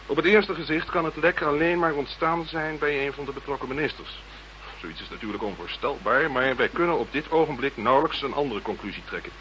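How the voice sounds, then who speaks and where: Pompous British minister, male actor: grave, polite, official, crisp-edged mid-range voice for radio drama. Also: mid-range voice, a little fast, bright, forceful tone, a little hiss or hum, lightly acted, low-quality recording. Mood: grave, courteous. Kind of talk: radio play acting.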